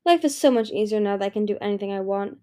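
A woman's voice: mid-range voice, quick pace, close to an everyday voice. A woman voicing a spoiled, wheedling young female dragon princess in a storybook reading. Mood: sugary. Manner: bright, wheedling and whiny.

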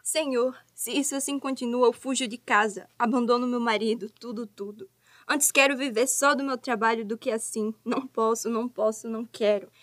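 A woman's voice: fairly high pitch, fairly even intonation, moderate pace, a bit bright, no room sound, close to an everyday voice. A woman playing a distraught, pleading, melodramatic young wife in a radio soap.

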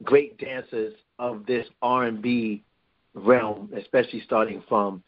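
A man's voice: mid-range voice, fairly even intonation, unhurried. Rambling New man, muffled line. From New York. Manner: rambling with many restarts. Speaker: guest. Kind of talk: casual interview talk.

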